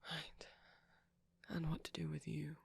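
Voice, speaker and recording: A performer playing a shy, caring vampire boyfriend in an intimate ASMR roleplay, speaking softly and breathily with hesitant pauses. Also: medium pitch, monotone, dry recording, exaggerated voice. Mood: tender, hesitant. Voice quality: breathy, often near whisper. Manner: soft-spoken, breathy, halting with gentle pauses.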